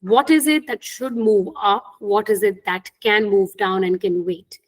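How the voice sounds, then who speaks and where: Indian woman, native Hindi, middle-aged coach, earnest and steady, mid-range voice. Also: medium pitch, unhurried. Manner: earnest, frequent "right?" tag questions. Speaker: interview coach. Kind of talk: webinar talk on job interviews.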